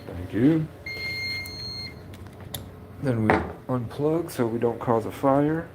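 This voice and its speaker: A man's voice: medium pitch, expressive, takes it slowly. Rambling man in his thirties. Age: 30s. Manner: rambling, jokey, slips in and out of silly voices. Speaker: vlogger. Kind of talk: rambling comedic vlog. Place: laundromat, then his car. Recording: loud background noise.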